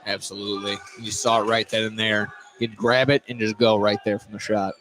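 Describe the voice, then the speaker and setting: A man's voice: lowish voice, little pitch movement, a little fast, fairly bright tone. An American man giving informal live basketball analysis as a color commentator, often repeating his words and phrases. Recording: clearly noisy gym ambience.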